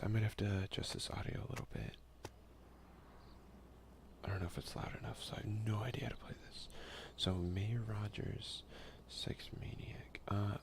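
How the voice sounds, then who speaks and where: A man speaking softly, at times in a breathy half-whisper, in a casual, hesitant ASMR let's play on a close headset mic. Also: lowish voice. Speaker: gaming ASMR video creator. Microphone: close headset boom mic.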